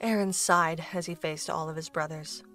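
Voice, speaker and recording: A woman's voice: sits low, steady tone, normal speed, a bit bright, faint music behind. A woman reading scripted storybook narration for an audio drama.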